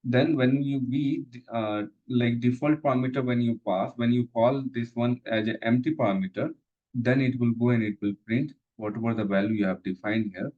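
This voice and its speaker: Man, programming instructor, halting, repeats himself, medium pitch, dull lo-fi recording. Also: fairly even intonation. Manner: halting, repeats phrases, many fillers. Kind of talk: live coding lesson walkthrough.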